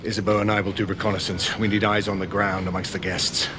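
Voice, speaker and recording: An actor playing a decisive veteran commander of Victorian knights in a game, with clipped authority. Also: male voice, on the low side, level tone, fast talker, noisy background, lightly acted. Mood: resolute. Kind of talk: dramatic game cutscene acting.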